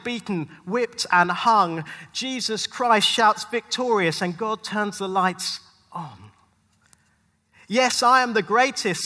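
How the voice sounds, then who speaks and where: A man preaching as a pastor in a dramatic spoken-word style, with rhetorical repetition and deliberate pauses, recorded live in a large venue. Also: high voice, bright, forceful tone. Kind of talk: dramatic sermon with rhymed spoken-word passages. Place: large indoor venue, speaking live to a gathering. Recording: live hall with long reverb.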